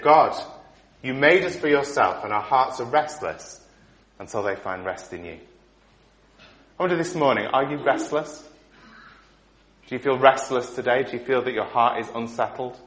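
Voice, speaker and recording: A middle-aged man preaching a sermon, asking the listeners questions and dropping to soft endings, his voice giving out. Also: medium pitch. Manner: rhetorical questions, emphatic rises and soft endings. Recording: echoey hall.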